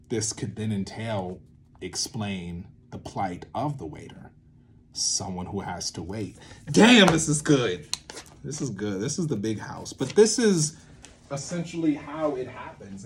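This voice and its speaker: A man with a fairly low voice talks through a creative method as an informal teacher. He thinks aloud, leaning into certain words with animated bursts of emphasis. Some ambient noise sits behind his voice. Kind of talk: informal instructional monologue to camera.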